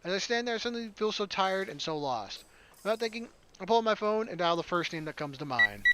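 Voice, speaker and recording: A man's voice: high-pitched, animated, quick pace, sharp tone, rough recording. A man hosting a gaming video, reading a visual novel aloud expressively and dropping in casual asides.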